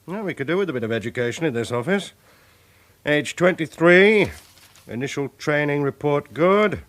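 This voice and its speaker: A brisk male chief inspector in a British radio drama, clipped and authoritative. His voice sits a little high and swings widely in pitch. His orders come out short and firm. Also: animated, fairly bright tone. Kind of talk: 1980s radio drama acting.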